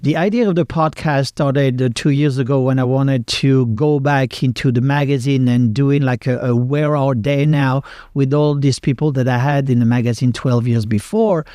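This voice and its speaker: A man's voice: mid-range voice, fairly even intonation, moderate pace, few pauses, mellow, clean studio sound. A man in his fifties from the south of France, hosting a podcast interview and often echoing his guest's words. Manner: eager, agreeing, repeats the guest's words. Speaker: podcast host. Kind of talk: casual interview chat.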